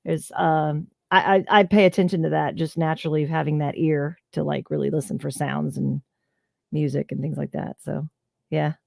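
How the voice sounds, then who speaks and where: Chatty woman, low and dry. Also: flat delivery, normal speed, gravelly, dry recording. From Southern United States. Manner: chatty, storytelling, lots of fillers. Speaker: voice actor guest. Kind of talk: casual interview chat.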